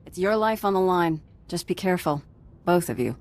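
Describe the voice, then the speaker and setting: An actress playing an exasperated but concerned doctor in a video game. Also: female voice, fairly low pitch, animated, moderate pace, darkish tone, natural voice. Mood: wary concern. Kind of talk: video game cutscene acting.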